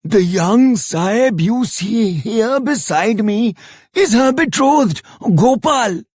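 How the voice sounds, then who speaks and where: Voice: mid-range voice, animated, slow pace, a little mellow, slightly rough, dry recording, studio quality. An actor playing an anxious old merchant in a video game, with an Indian accent and a dramatic, florid way of talking. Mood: earnest. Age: older. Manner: dramatic, florid, ungrammatical speech. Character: anxious old merchant, pleading for a kidnapped granddaughter. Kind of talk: theatrical video game voice acting.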